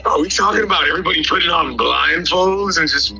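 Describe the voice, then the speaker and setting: Offhand gamer guy, bright voice. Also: fairly high pitch, quick pace, vocal fry, faint music behind, low-quality recording. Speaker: show guest and gamer. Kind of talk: casual livestream chat.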